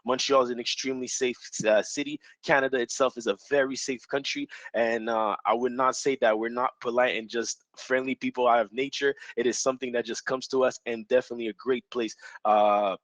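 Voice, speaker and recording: Man, halting panel talk. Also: medium pitch, a bit bright, no echo. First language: French. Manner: halting, searching for words, many restarts. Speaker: university representative on a panel. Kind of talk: panel discussion.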